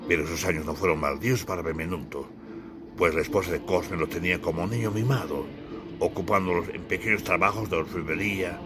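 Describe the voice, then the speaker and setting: A man from Bolivia narrating a literary audiobook in a solemn, theatrical storytelling manner. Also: sits low. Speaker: author and narrator.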